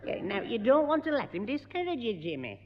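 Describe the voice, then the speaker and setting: A man's voice: very high voice, huge pitch swings, brisk, heavily acted. An actor playing a dim, good-natured young man in a 1960s radio sitcom, chatting in an easygoing way. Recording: dull echoey 1960s radio recording.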